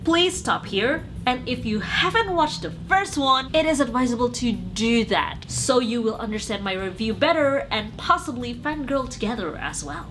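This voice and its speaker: A young woman from Indonesia, speaking English with an Indonesian accent, chatting casually and enthusiastically as a movie reviewer on her channel, rambling a little as she goes. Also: a little high.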